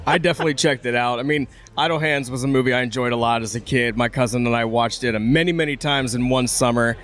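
A man's voice: mid-range voice, quick pace, fairly bright tone. A man interviewing podcast hosts in a casual, conversational chat about movies.

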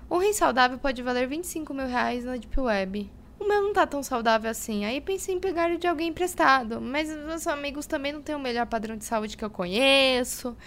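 A woman's voice: high voice, lively intonation, fairly quick, few pauses, fairly bright tone, a little hiss or hum. An actress playing a frazzled, impulsive young woman fretting over money, with a Brazilian accent, in an audio drama. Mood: scheming. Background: music behind the voice.

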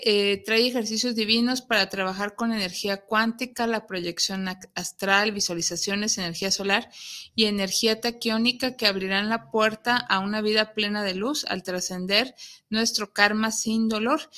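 A woman's voice: medium pitch, a bit bright. A woman with a Mexican accent hosting a talk show, presenting conversationally to her audience in an explanatory way. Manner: explanatory, poses rhetorical questions. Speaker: host of an interactive talk show.

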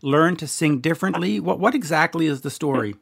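A man's voice: fairly high pitch, fairly quick, gravelly, a bit bright, slightly rough. Eager male interviewer, stumbling restarts. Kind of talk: interview questions.

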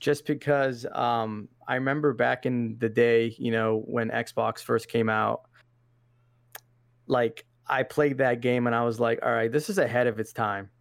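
A young man from Iraq chatting as a podcast guest, easygoing and slangy, dropping "man" and "dude" into most sentences. His voice is mid-pitched and recorded close and dry. He talks casually with frequent fillers. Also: fairly even intonation, unhurried, gravelly, no room sound. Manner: laid-back, slangy, says "man" and "dude" often. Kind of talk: casual interview chat.

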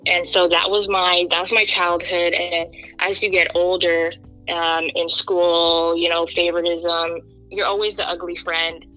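A woman's voice: lowish voice, a little slow, faint music behind, rough recording. A young woman from Kenya as an interview guest, speaking in an earnest, emphatic way. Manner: earnest, emphatic, animated when quoting others. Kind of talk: candid interview talk.

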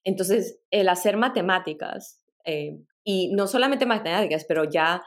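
A woman's voice: lowish voice, expressive, moderate pace. A middle-aged woman from Peru, guest on a podcast interview, telling her life story thoughtfully with small laughs and restarts. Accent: mixed Latin American Spanish. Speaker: guest, tech product director.

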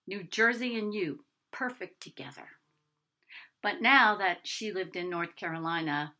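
A woman author reading her own short story aloud in expressive scripted narration. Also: on the low side.

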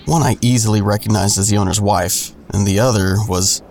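A man's voice: fairly low pitch, fairly even intonation, brisk, creaky, a little mellow, slight background noise. An actor playing a man who narrates his own troubled story in a first-person audio drama. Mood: descriptive. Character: adult man recounting his troubled past. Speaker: narrator and main character.

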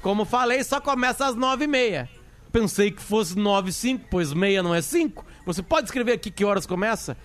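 A high-pitched man tells a joke on a live stream. He plays both parties in a back-and-forth with a light, playful delivery. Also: animated, brisk, a bit bright. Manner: playful, acts out both sides of a joke dialogue. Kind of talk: casual joke telling.

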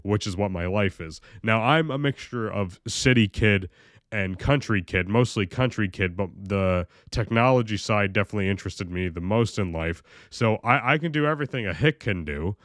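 Deep-voiced young man rambling casually. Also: animated, moderate pace, a bit bright, no room sound, studio quality. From United States. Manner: rambling, lots of fillers and restarts, swears casually. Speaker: video creator. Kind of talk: casual monologue vlog.

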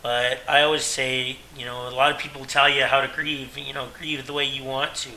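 Earnest man with a very bright tone. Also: mid-range voice, little pitch movement, fairly quick, slight background noise, low-quality recording. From Canada. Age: 30s. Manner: earnest, rambling, self-correcting. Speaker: podcast host. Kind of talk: candid personal monologue.

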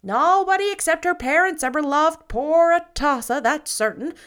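A woman's voice: high-pitched, moderate pace, fairly bright tone, voice pushed up. A woman voicing a gossipy older woman who talks solemnly and emphatically, full of opinions. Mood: emphatic.

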